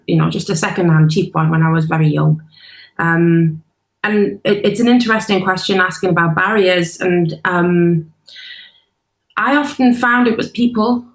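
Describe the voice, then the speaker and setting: A woman speaking as a panel guest, thoughtful and hesitant, often restarting her sentences. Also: on the low side. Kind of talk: panel discussion. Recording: roomy echo.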